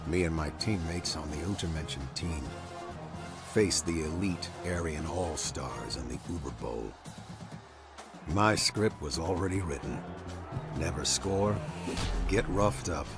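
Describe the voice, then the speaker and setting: An actor playing a grim, defiant American football player, narrating a game story in a brooding, hard-boiled way. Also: male voice, extremely low pitch. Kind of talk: scripted noir-like game narration.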